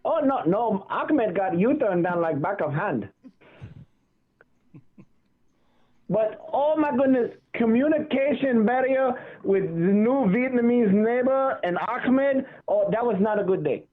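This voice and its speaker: A man playing a cheeky, deadpan man from Baghdad who speaks mock broken English, on a phone line. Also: very high voice, measured pace, few pauses, heavily acted. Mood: boastful. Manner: deadpan joking, broken phrasing.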